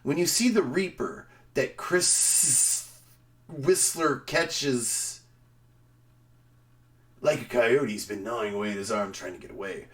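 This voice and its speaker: A man streaming, speaking in an emphatic, theatrical way with dramatic pauses. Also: mid-range voice, a bit bright. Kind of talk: dramatic reading of a film review.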